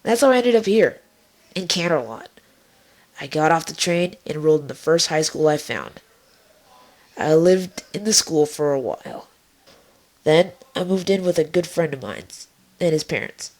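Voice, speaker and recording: A tough, foul-mouthed young cartoon-style mare played by a woman. She speaks on the low side with a sardonic, nonchalant edge, tossing out lines wryly. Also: expressive, moderate pace, long pauses, darkish tone. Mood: matter-of-fact.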